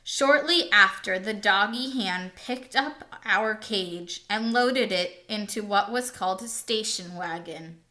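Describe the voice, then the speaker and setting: A world-weary, scornful male hamster from a children's storybook, acted out by a woman. He grumbles through his tale at a slow, deliberate pace, in a bright, clear-cut tone. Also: medium pitch. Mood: weary, indignant.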